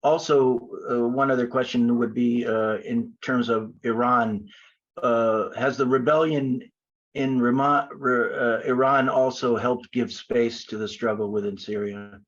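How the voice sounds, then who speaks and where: A man's voice: mid-range voice, fairly even intonation, a little slow. A man asking a question on an online panel, hesitant and full of fillers. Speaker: audience member asking a question. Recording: dull lo-fi call audio.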